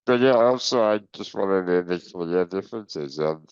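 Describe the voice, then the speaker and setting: A man's voice: low voice, lively intonation, normal speed, smooth, no room sound, highs cut off. A man from Wales, co-hosting a podcast in laid-back casual chat full of tag questions. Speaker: podcast co-host.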